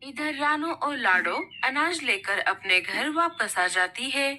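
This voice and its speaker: Bright, high-voiced woman storyteller. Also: animated, slow pace. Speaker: narrator. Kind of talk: scripted children's story narration. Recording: noticeable background noise.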